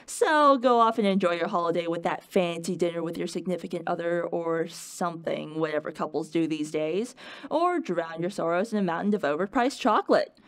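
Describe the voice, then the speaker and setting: A woman's voice: lowish voice, lively intonation, fairly quick, no echo. A woman playing a wry, playful professor who delivers a scripted lecture in a conversational, plain-explaining way. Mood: wry, reassuring. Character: playful, wry professor giving an unasked-for office-hours lecture. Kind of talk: scripted educational lecture in character.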